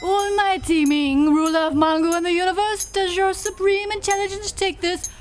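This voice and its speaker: A solemn, scheming male high priest, played by a woman, intones rites in a formal manner for a community radio play. The voice is very high. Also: steady tone, normal speed, soft background music. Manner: formal, ceremonious. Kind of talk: live amateur radio play.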